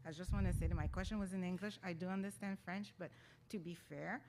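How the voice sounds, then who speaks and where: A woman's voice: lowish voice, steady tone, fast talker, darkish tone, slight background noise. A woman from the public at a council meeting, pressing her point insistently and repeating herself. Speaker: citizen asking a question.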